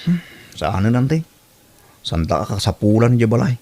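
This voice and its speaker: A man with an Ilocano Filipino accent playing a surly, resentful older brother in an audio drama, gruff and defiant. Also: medium pitch, sing-song, moderate pace, a little mellow. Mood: defensive.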